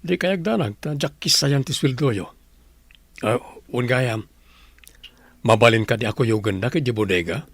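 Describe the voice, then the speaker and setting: A man's voice: mid-range voice, normal speed, pauses often, no room sound, lightly acted. A man playing a considerate but firm household employer in an audio drama. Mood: kindly. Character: considerate male household employer, firm about his family.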